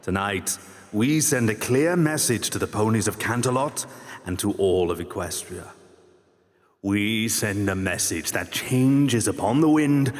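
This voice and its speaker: A man's voice: fairly low pitch, lively intonation, a little slow. A man playing a scheming revolutionary pony leader giving a grand, oratorical rallying speech in a fan radio drama. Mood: rousing. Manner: grand, oratorical, building to a shout. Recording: long hall reverb.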